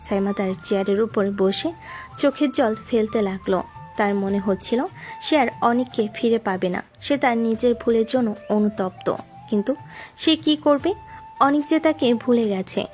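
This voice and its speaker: Casting: woman narrator for romantic audio tales, higher register, scripted delivery over background music. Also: darkish tone. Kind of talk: scripted dramatic story narration. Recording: music behind the voice.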